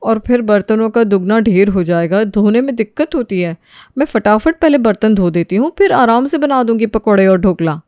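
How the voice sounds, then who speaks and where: A woman playing a proud, feisty housemaid in an audio story, argumentative and quick to talk back. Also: medium pitch, animated, a little mellow, no echo, natural voice. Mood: matter-of-fact. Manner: defiant, argumentative.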